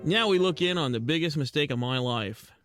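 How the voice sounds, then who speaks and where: A streetwise penguin gumshoe performed by a man talks in tough American slang at a fairly high pitch. He is self-doubting under the hardboiled front, in 1940s radio style, with music playing faintly behind. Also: lively intonation, brisk, close to an everyday voice. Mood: wry narration. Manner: slangy tough-guy talk. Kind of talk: 1940s radio detective acting and narration.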